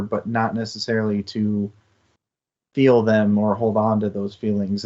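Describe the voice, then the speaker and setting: A man's voice: on the low side, darkish tone. A man co-hosting a casual book discussion, speaking in an earnest, thoughtful and reflective way. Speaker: co-host of a book discussion show. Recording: slightly dull, lo-fi sound.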